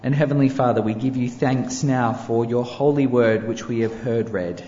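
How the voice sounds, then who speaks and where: A male pastor prays aloud with a measured, solemn cadence and a mellow, dark tone. The voice rings through a large church hall with long reverb, over faint room ambience, and sounds somewhat muffled. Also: mid-range voice, a little hiss or hum. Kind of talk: public prayer.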